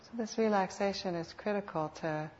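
A woman teaching guided meditation in an unhurried, soothing manner with reflective pauses, on a dull lo-fi recording. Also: mid-range voice, darkish tone, a little hiss or hum. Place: meditation center. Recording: dull, lo-fi live talk recording.

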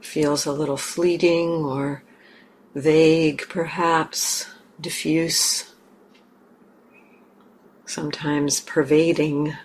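A woman meditation teacher leading a guided meditation gently and haltingly, in short phrases with long pauses. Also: deep voice, little pitch movement, low-quality recording. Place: meditation center hall. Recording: clear background noise, room ambience.

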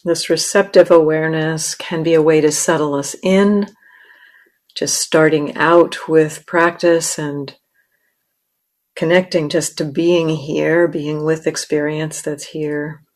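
A woman meditation teacher leading a guided meditation in short, measured phrases with long pauses. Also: low-pitched, steady tone, darkish tone.

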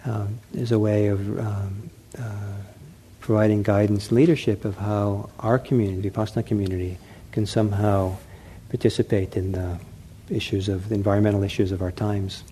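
A middle-aged man giving a dharma talk as a meditation teacher, gentle and unhurried, often pausing with fillers and restarting his phrases. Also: lowish voice, little pitch movement, slight background noise, poor audio. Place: meditation center hall.

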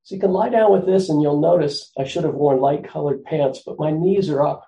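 A soft-spoken man teaches meditation, pausing often as he gently explains each posture. His low-energy voice is dark and mellow, with a gravelly edge at the bottom of his range. He talks at an easy, patient pace. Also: lo-fi sound. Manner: gentle, unhurried, with frequent pauses and fillers. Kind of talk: guided meditation instruction.

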